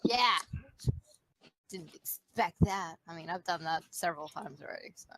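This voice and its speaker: A woman's voice: on the low side, moderate pace, fairly bright tone, slight background noise, poor audio. A woman joking around in casual chat.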